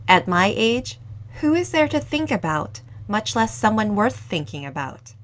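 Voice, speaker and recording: A woman's voice: mid-range voice, big pitch swings, measured pace. A woman reading the part of a lonely, reflective older bachelor who speaks politely and thoughtfully. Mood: reminiscent. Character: reflective older bachelor man, gentle and wistful.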